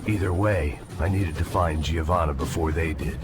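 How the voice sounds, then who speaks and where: An actor playing a world-weary, cynical American ex-cop, narrating a noir video game in a deadpan, sardonic way. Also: male voice, a bass voice, moderate pace, very noisy. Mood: bitter, sardonic. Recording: loud music under the voice.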